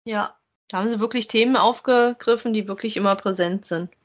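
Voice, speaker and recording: A woman's voice: mid-range voice, fairly quick. A woman co-hosting a podcast in casual chat, colloquial, asking questions and agreeing with her partner. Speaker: podcast co-host reviewing audio dramas.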